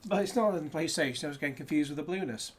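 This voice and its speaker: Man, rambling, jokey asides, slightly high voice, faint room hiss. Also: racing. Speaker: disc reviewer. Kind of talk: casual unboxing chat.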